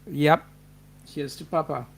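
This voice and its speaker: Chatty Australian man, higher voice. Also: fairly even intonation, slow pace, vocal fry, husky. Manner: chatty, upbeat, reads comments aloud. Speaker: host. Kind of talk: casual livestream chat, reading viewer comments.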